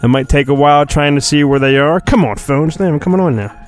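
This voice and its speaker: A man's voice: on the high side, fast talker, soft background music. A man hosting a podcast, casual and self-deprecating, reading a children's storybook aloud in a playful mock-dramatic way. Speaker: podcast host.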